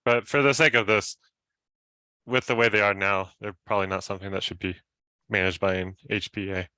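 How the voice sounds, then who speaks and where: A man's voice: fairly low pitch, fairly even intonation, a little fast, pushed and bright, clean tone, no room sound, poor audio. A man giving a casual technical explanation among colleagues, in informal phrasing. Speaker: software engineer in a team meeting.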